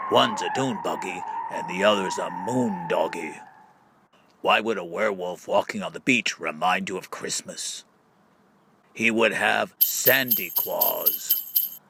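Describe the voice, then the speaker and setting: A man's voice: deep voice, creaky, fairly bright tone, a little hiss or hum. A man reading a scripted book of riddles, setting up each question and drawing out the punchline. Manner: question-and-answer delivery with drawn-out punchlines. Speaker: audiobook reader.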